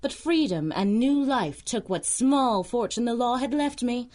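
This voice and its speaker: A woman's voice: on the high side, animated, measured pace, a little mellow. A woman playing a ruthless, imperious supervillain in a pulp radio drama, menacing, theatrical and scornful. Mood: cold scheming. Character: ruthless, imperious female supervillain crime lord plotting revenge.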